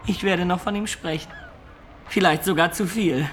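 A man's voice: high-pitched, moderate pace, a bit bright, slight background noise. An actor playing a roguish man of peasant birth, narrating his life colloquially and reflectively in a radio play.

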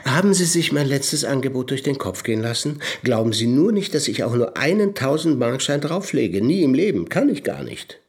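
Pushy haggler, stingy, chatty, blustering, medium pitch, highly animated intonation, radio play. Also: male voice, moderate pace, hardly any pauses. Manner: chatty, blustering, mock-aggrieved. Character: wheedling, stingy male house buyer, jovially pushy haggler. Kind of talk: radio drama acting.

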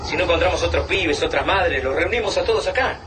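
An actor playing a blunt, pragmatic resistance fighter in a radio drama. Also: male voice, fairly high pitch, fast, natural voice. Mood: blunt, urgent. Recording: distant voice, noisy vehicle ambience.

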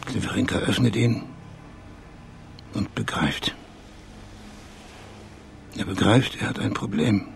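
An actor playing a sleuth in a German radio crime drama, with a gravelly voice and a terse, measured, matter-of-fact manner. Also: male voice, fairly low pitch, soft background music. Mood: calm, grave. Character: low-voiced male sleuth calmly reconstructing a murder.

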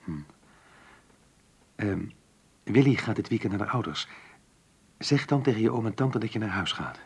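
An actor playing an earnest, troubled married man in love in a radio drama, speaking in a measured, confessional way. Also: on the low side, animated, darkish tone, breathy edge, no echo. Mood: pleased, tentative.

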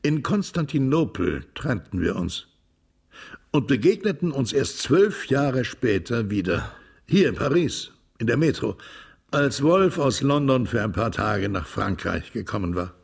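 Gravelly, wistful émigré veteran. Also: male voice, mid-range voice, very animated, a little slow, exaggerated voice. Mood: reminiscing. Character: wistful male Russian émigré veteran recalling old adventures. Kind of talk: radio drama dialogue, storytelling.

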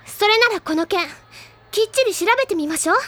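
An excitable high school senior girl in a radio play. Her voice is strikingly high and girlish. She talks with earnest, theatrical conviction, stubborn and full of fancy. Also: a little fast. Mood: determined. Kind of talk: audio drama dialogue, anime-style acting.

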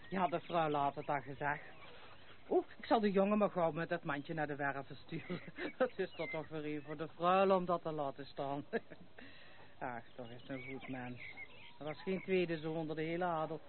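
An actress playing a good-natured, gossipy farmer's wife in a radio play, rambling. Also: female voice, fairly low pitch. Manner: rambling, folksy, chuckling. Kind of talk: Dutch radio play acting. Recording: noisy, thin phone-like old radio recording.

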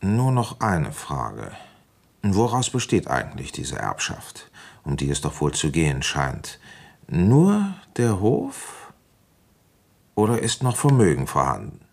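An actor playing a dogged village police inspector in a German radio play, questioning in a terse, methodical way. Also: male voice, deep voice, huge pitch swings, slow, creaky, breathy edge, full character voice. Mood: pointed.

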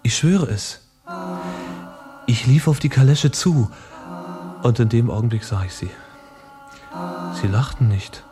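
A male actor as a sensitive young revolutionary in a serious radio play, anguished and confessional. His mid-pitched voice moves haltingly but intensely, with music clearly underneath. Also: lively intonation, lightly acted. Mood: anguished, confessing. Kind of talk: serious stage-like radio drama acting.

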